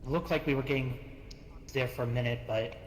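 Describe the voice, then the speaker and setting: Male online roleplay player, average pitch, improvised delivery, noisy capture. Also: steady tone, fairly quick. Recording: echoey, noisy voice-chat capture.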